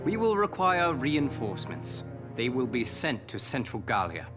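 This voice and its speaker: An actor playing a composed, imperious noble army commander in a game cutscene, speaking formally and with measured authority. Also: male voice, medium pitch, sing-song, over music, close to an everyday voice. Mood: imperious, resolute. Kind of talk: dramatic game cutscene acting.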